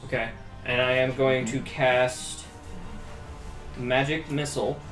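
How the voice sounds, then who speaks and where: A man with a mid-range voice, playing a tabletop game and thinking out loud about his turn. He speaks tentatively and casually, pausing on ums. The room sounds roomy, with music under the talk. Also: medium pitch, fairly even intonation. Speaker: player.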